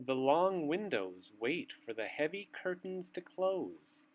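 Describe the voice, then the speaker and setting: Animated, unhurried male children's storyteller. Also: on the high side. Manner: theatrical, drawn-out phrasing with questions to children. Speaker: storyteller reading a picture book aloud to young children. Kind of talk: expressive children's read-aloud with asides to the listeners.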